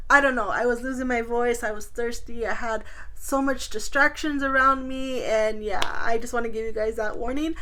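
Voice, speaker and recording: High-voiced woman beauty vlogger, chatty. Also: a bit bright. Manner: chatty, informal, addresses viewers directly. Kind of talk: casual chatty product review to camera.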